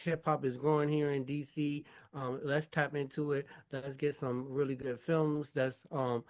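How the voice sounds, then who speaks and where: A man's voice: medium pitch, little pitch movement, a little slow. A man raised in Maryland, a guest on a show, talking in a laid-back way with laughs and fillers. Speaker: guest, founder. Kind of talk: casual interview talk.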